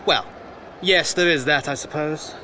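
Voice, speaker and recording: A man's voice: fairly high pitch, unhurried, noisy background, natural voice. An actor playing an earnest, questioning First World War newspaperman, well-spoken, formal and wry, in an audio drama. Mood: wry, musing. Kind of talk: period audio drama acting.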